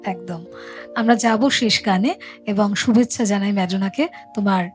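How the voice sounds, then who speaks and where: A woman's voice: mid-range voice, normal speed. A woman hosting a casual music chat show, addressing guest and viewers.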